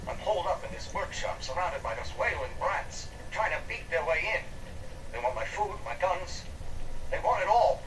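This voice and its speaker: A man's voice: sits high, expressive, normal speed. An embattled, paranoid survivor in a game audio log, agitated and raising his voice. It is reverberant and distant, with obvious noise. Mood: agitated, defiant. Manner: agitated, raised voice. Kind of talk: video game audio diary acting. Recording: echoey, distant, noisy game playback.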